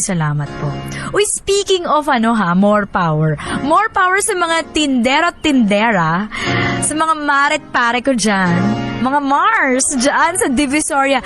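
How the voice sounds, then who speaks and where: A woman with a Filipino accent hosting radio, playful, expressive and slangy, mixing in English as she chats and reads out listener messages. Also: fairly high pitch. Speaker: radio host. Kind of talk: lively radio talk, reading listener messages and giving commentary. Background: music.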